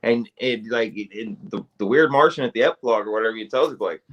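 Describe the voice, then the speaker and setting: A man's voice: fairly low pitch, quick pace, muffled recording. A man co-hosting a casual podcast, rambling and swearing, with constant "like" and "you know" fillers. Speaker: podcast co-host.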